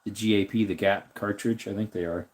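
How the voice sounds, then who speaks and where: Raspy-ish guy doing a casual live stream, rambling through chat, thinking out loud. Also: fairly low pitch, fairly even intonation, fairly quick. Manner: rambling, thinks aloud while looking things up. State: slightly raspy voice.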